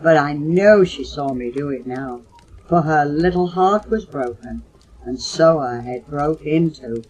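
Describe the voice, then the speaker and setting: An older woman voicing an indignant, grieving little girl in a recited poem, petulant and emphatic, on a low-fidelity home cassette. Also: measured pace, a little mellow, husky, poor audio. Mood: mournful, guilty.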